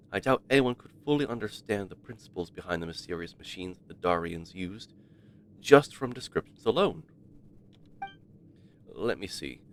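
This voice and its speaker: Low, formal scholarly ruins researcher played by a man. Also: fairly bright tone. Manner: formal, explanatory, measured. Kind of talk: read-aloud game dialogue.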